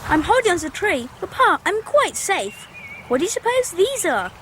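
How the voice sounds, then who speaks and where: A woman's voice: very high-pitched, sing-song, moderate pace, a bit bright, a little hiss or hum, full character voice. A woman voicing a polite, earnest English Victorian boy in a radio drama. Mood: curious. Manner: well-spoken, earnest. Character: polite, curious Victorian boy, elder son. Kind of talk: 1990s radio drama acting.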